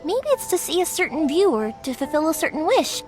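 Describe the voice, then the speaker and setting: A woman playing a cheerful, caring young fireworks maker in an anime game, breezy and expressive. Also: high voice, fairly quick, over music. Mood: friendly, sympathetic.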